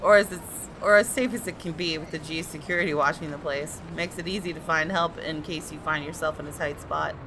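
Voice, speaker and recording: A woman hosting a gaming video, commenting on a visual novel and reading its narration aloud in an expressive, dramatic way. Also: low-pitched, brisk, background noise. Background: game music.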